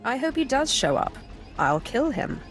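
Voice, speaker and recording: A woman's voice: high voice, very animated, normal speed, faint music behind. An actress playing a ruthless, cold Sith warrior woman in a video game, declaring threats with menace. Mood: cold, deadly. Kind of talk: video game cutscene acting.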